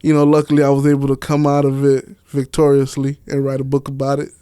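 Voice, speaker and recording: Guy from miami, smooth clear voice, kinda high, slangy candid podcast talk, dry close sound. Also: monotone, fast, dark tone, no room sound. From Miami, United States. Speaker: interview guest, author and stage play director. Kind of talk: casual podcast chat.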